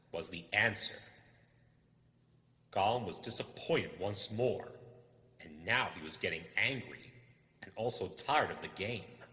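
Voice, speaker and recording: Low, animated male storyteller with reverb. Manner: expressive, suspenseful. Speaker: amateur audiobook reader. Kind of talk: dramatic storybook narration. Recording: echoey hall-like reverb.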